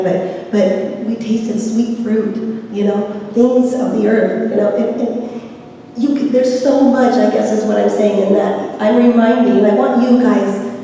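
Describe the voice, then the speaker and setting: A woman minister with a slightly raspy voice gives an informal, rambling, folksy sermon, inviting answers from listeners. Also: mid-range voice, mellow. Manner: rambling, folksy, seeks audience responses. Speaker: minister leading a Sunday service. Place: large reverberant room at a service. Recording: echoey hall, distant mic, faint noise.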